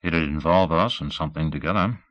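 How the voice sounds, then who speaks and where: A male narrator voicing a cautious, dryly amused rich man who asks measured questions in an audiobook. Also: extremely low pitch, fairly bright tone, no echo, dull audio. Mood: wary. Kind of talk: audiobook character voice.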